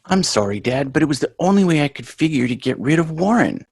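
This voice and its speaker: A man's voice: fairly high pitch, sing-song, fast talker, creaky, slightly rough, dry recording, full character voice. A man voicing a sheepish, apologetic schoolboy son in an amateur sitcom table read, earnest and wry.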